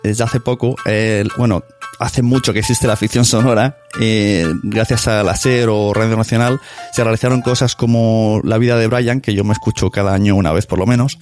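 A man's voice: lowish voice, fairly even intonation, moderate pace, a little mellow, faint music behind. A man from hosting a podcast interview in a casual, chatty way.

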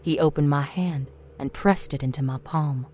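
A woman's voice: low-pitched, moderate pace, a little mellow. A woman reading a scripted story as a dramatic storyteller. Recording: faint music bed.

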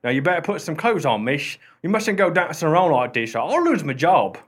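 A man's voice: on the high side, big pitch swings, fairly quick, husky. A man playing an irreverent working-class porter in a radio play, chatty, colloquial and amiably wheedling. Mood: coaxing.

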